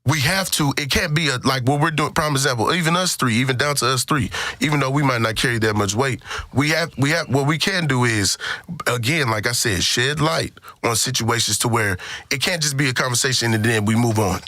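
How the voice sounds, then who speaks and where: A man's voice: medium pitch, fairly quick, bright, forceful tone, no room sound. A man hosting a casual panel discussion, speaking emphatically and repeating phrases for stress. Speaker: podcast host.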